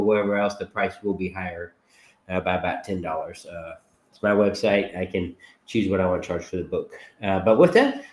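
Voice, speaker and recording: A man's voice: lowish voice, darkish tone. A middle-aged man with a cold-stuffed voice, hosting his podcast in a blunt, rambling casual chat. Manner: blunt, chatty, rambling with fillers. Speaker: podcast host. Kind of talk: casual livestream monologue. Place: home setup with green screen. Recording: roomy echo.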